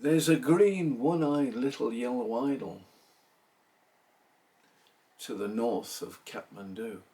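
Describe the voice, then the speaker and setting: A man giving a theatrical, measured recitation of a narrative poem, pausing between phrases. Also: medium pitch, mellow, distant mic. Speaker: poetry reader.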